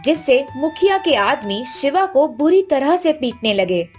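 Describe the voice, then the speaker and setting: A woman's voice: sits high, sing-song, a little slow, darkish tone. A woman narrating a scripted cartoon story as the storyteller. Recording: faint background music.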